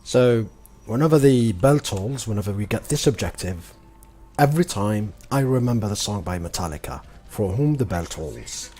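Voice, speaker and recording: A young man streaming a game, talking slowly and calmly in a soothing voice. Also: lowish voice. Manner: slow, calm, deliberate talk. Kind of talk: casual gaming stream chat.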